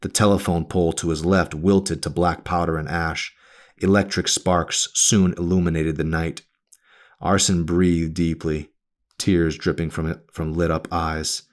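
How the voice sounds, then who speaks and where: A man reading a novel aloud as its author, in a brooding, dramatic narration style with deliberate phrasing. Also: low-pitched, level tone.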